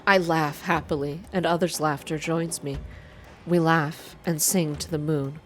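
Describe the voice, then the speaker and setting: Woman doing asmr story reading, pretty low soft voice, some ambient noise behind her. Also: steady tone, measured pace. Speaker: narrator reading a visual novel game aloud. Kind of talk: ASMR storytelling, first-person narration read from text.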